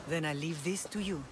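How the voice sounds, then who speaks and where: A man's voice: on the high side, noisy background. An actor voicing a grim, determined male island leader in a video game cutscene, speaking steadily and earnestly.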